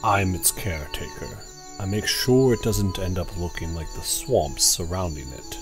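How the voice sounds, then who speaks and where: A man's voice: low-pitched, animated, normal speed, faint music behind. Druid caretaker, male actor: bottom-register voice, wary, clipped formal speech, fantasy audio drama. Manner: curt, formal, distrustful. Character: suspicious, guarded male druid, caretaker of a forest.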